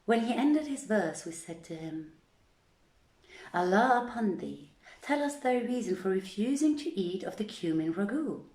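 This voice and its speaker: A woman reading an old literary tale aloud in a deliberate, theatrical storytelling manner with measured phrasing. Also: medium pitch, animated, darkish tone. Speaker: storyteller, reader. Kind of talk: dramatic scripted storytelling, archaic literary narration. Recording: roomy, echoing voice recording.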